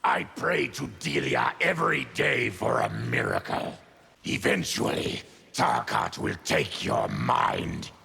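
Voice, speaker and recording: A proud, brooding monster warrior from a fighting game. The voice is very low and heavily rasping, lurching dramatically in pitch. The words come out grave, formal and menacing. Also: male voice, huge pitch swings, slow, sharp tone, exaggerated voice. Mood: grim. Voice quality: gravelly, guttural rasp. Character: feral, diseased monster warrior, a proud brooding former tribune. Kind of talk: video game character acting.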